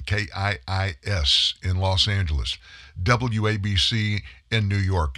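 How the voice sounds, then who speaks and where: A man's voice: on the low side, sharp tone, dry recording, studio quality. A middle-aged man hosting a political commentary show, telling a story with emphatic delivery. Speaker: host of a commentary news show. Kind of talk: scripted political commentary monologue.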